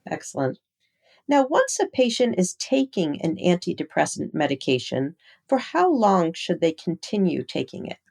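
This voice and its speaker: A woman's voice: on the low side, very animated, normal speed, vocal fry, darkish tone. A woman interviewing a medical expert, putting structured questions in a polite, orderly way. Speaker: interviewer, medical journal editor.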